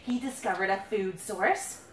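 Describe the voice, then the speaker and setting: A woman reading her own picture book aloud to a young child, playfully dramatic, drawing out words and pausing to ask questions. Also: mid-range voice. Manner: dramatic build-ups with drawn-out words. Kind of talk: animated storytelling read aloud to a young child. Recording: roomy, noisy, distant handheld recording.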